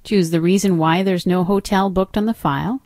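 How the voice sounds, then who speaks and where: A woman narrating an instructional software demo as a trainer, explaining step by step in an instructive way. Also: fairly low pitch, steady tone, moderate pace, dry recording.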